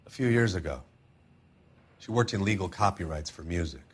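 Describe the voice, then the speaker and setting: A man's voice: deep voice, expressive, normal speed, husky. An actor playing a downbeat, world-weary man in a 1940s crime game, talking plainly and with restraint. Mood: matter-of-fact. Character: downbeat, world-weary male friend of a murdered woman.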